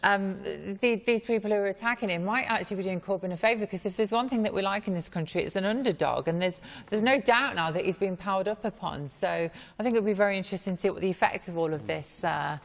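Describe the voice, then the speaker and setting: A woman on a debate panel offering a measured, musing view. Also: medium pitch. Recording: studio hall with audience.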